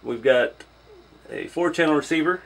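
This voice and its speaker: A man's voice: a little high, moderate pace, vocal fry, poor audio. A man giving an informal hands-on first look as a hobby reviewer, thinking aloud as he goes. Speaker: hobby vlogger reviewing an RC truck.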